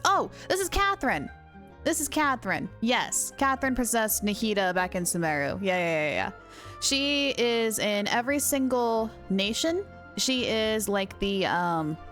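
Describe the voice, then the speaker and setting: Female game streamer, fairly high voice, chatty and bubbly, light game music behind. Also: expressive, normal speed, a bit bright. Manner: bubbly, giggly, chatty with viewers. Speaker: live streamer. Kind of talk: casual game stream chat.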